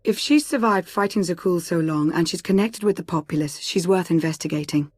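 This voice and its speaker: Actress as a lowish, earnest advisor. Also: female voice, a little fast, no room sound, studio quality, close to an everyday voice. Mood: reasoned. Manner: earnest, level-headed. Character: serious, cautious woman advisor, quietly concerned. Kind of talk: video game cutscene acting.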